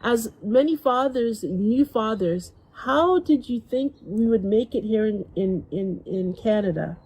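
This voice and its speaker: A woman's voice: a little high, expressive, a little slow, a little mellow, husky, no echo, poor audio. A woman born in Guyana hosting an interview with her father, asking long, rambling questions. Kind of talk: casual family interview.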